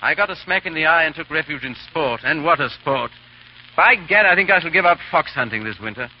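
A daring English gentleman, very animated, muffled old radio. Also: a little high, fairly quick. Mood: jaunty. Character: daring English baronet secretly rescuing victims, posing as foppish dandy. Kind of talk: 1930s radio drama acting. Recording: muffled, hissy 1930s radio recording.

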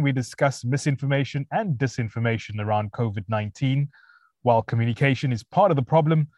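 A man's voice: mid-range voice, a little mellow, dry recording. Podcast host man, dry close sound. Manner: reads a prepared intro, then asks questions with pauses. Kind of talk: scripted introduction and interview questions.

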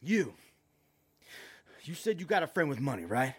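Brief: actor as a greedy low-life kidnapper, coarse and threatening, with music under him. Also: male voice, sing-song, moderate pace. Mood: menacing. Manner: rough rural slang, menacing. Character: crude greedy male kidnapper thug. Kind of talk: amateur audio drama acting.

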